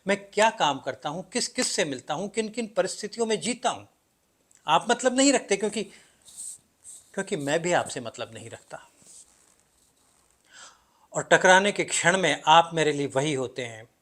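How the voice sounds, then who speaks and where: A male actor playing an enigmatic, self-doubting everyman narrator in a stage play, addressing the audience with deliberate dramatic pauses and emphatic swings. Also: a little high, fairly bright tone. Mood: searching, intense. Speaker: actor performing a play monologue. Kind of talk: theatrical stage monologue, addressing the audience directly.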